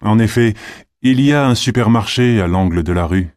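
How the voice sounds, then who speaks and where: A man's voice: on the low side, normal speed, darkish tone, dry recording, studio quality, lightly acted. A man playing a polite, helpful everyday character in a scripted language-exam dialogue.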